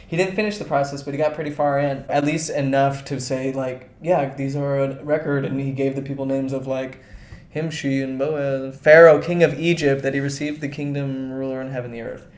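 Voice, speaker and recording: Irreverent co-host in an echoey room. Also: male voice, on the high side, a little mellow. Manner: irreverent, mock-quoting, swears casually. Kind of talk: casual chat, retelling and joking. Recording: roomy echo, faint background noise.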